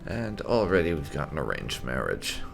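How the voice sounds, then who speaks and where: A man's voice: rumbling low, huge pitch swings, normal speed, creaky. A man hosting a gaming video, giving casual let's play commentary in a wry, chatty way.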